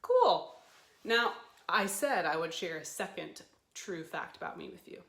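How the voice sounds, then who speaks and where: A woman presents storytime to young children in a bright voice. She takes her time and speaks in an animated, encouraging way, asking simple questions. The room adds a noticeable echo. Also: lowish voice, measured pace, creaky. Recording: roomy, echoey sound.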